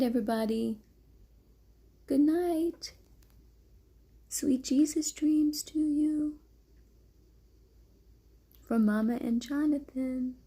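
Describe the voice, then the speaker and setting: A woman reading a children's bedtime story aloud as a mother, gently, with casual asides to her small child. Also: high voice, slightly rough. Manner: gentle, motherly baby talk.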